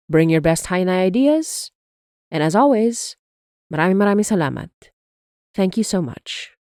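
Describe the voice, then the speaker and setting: A woman's voice: medium pitch, a little mellow, dry recording. Enthusiastic woman podcast host, dry sound. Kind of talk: scripted announcements and thanks to listeners.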